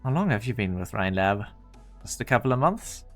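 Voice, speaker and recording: A man's voice: fairly low pitch, very animated, brisk, a bit bright, faint music behind. A man playing a haughty, impatient research director in a game fan dub, coldly commanding and curt. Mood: condescending. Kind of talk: scripted anime game dub acting.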